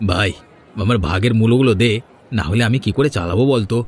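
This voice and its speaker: A man's voice: on the low side, fast talker, a little mellow, slight background noise, natural voice. A man voicing a bullying, impatient elder brother who sells vegetables, scolding brusquely. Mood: demanding. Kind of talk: moral-story cartoon dubbing. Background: faint music.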